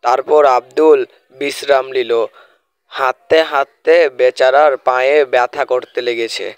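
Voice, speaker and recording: A man's voice: mid-range voice, steady tone, a little slow, smooth, no room sound. A man narrating an amateur cartoon story in an informal, colloquial, repetitive storytelling manner. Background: faint music.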